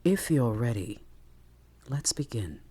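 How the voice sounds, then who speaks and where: A meditation guide speaking gently and soothingly in short phrases with long pauses, leading a guided relaxation. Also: medium pitch, slightly rough. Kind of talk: guided meditation, soft instructional narration. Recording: steady rain sound behind the voice. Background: rain.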